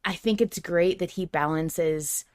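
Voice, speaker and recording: A woman's voice: on the low side, a little slow, dry recording, studio quality. A woman hosting a podcast in casual, enthusiastic, effusive conversation. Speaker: podcast host.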